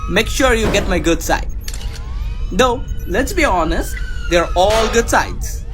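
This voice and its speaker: A man's voice: high voice, expressive, slow. Guy doing a vain goofy space captain, full of himself, theatrical, faint music, comedy audio drama. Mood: excited, preening. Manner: pompous, theatrical. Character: vain, bumbling, self-styled heroic male starship captain.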